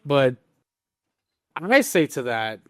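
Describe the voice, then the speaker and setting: A man's voice: medium pitch, big pitch swings, takes it slowly, no room sound. A man hosting a podcast, casually discussing the news with exasperated, opinionated commentary. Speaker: podcast host.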